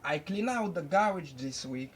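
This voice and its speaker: A young man teaching an English lesson at a whiteboard, slowly repeating each phrase several times. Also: fairly high pitch, animated, husky. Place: indoors at a whiteboard. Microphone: camera close by.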